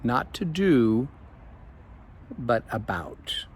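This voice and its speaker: A man, a life coach, speaking slowly and reflectively in an evening vlog recorded outdoors on a phone. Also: mid-range voice, sing-song, a little hiss or hum. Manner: slow, measured, reflective.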